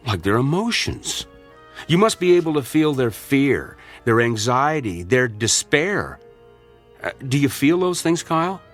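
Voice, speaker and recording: Brief: skeptical probing doctor, played by a man, expressive, dry sarcasm. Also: medium pitch, unhurried, soft background music. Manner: blunt, questioning, sardonic. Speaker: fellow doctor. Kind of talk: old-time radio drama acting.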